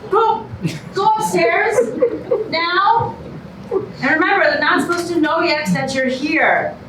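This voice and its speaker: In a roomy, noisy recording, an actress plays a bossy, exasperated female TV producer in a stage comedy. Her high voice gives orders at a deliberate pace. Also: expressive, heavily acted. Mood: scolding. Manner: commanding, exasperated. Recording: echoey, noisy room, distant microphone.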